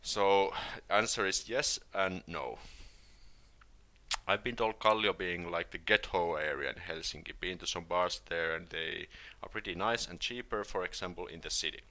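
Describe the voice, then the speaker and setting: A Finnish man with a Finnish accent, hosting a casual live stream and answering viewer questions in a relaxed, hesitant way, with many fillers and restarts. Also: sits low, moderate pace. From Finland.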